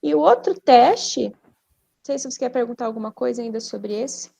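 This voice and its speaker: A woman's voice: on the high side, lively intonation, normal speed, creaky, warm tone. A woman with a Brazilian Portuguese accent, a speech therapist explaining conversationally as a podcast guest, didactic, posing questions and then answering them.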